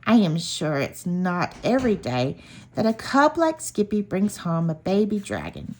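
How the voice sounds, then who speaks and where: A woman's voice: lowish voice, measured pace. A woman reading her own children's book aloud, expressively acting out the story as she goes. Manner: expressive, acts out dialogue.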